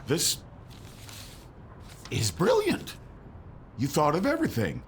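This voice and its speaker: A man's voice: a little high, wildly swooping pitch, slow, slight background noise, exaggerated voice. An actor playing a mountain troll father and leader in a family audio drama, theatrical, formal and sincere. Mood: amazed.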